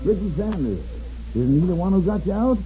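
An actor playing an anxious, trusting old rancher father in a halting, homespun way for a 1950s radio western. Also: sits high, animated, mellow, highs cut off, faint music behind. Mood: eager, puzzled.

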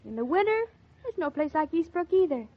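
A very high-pitched young wife in a 1940s radio drama. She is chatty, playful and stubborn, exclaiming and fretting as she goes. The old recording is dull and lo-fi. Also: big pitch swings, a little fast. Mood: affectionate, delighted. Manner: exclamatory, fretful, talkative.

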